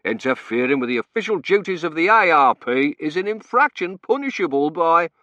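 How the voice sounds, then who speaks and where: A man's voice: a little high, sing-song, fairly quick, sharp tone, dry recording, muffled recording, voice pushed up. A man voicing a stout, stern, pompous air-raid warden in an audiobook, barking officiously. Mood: indignant.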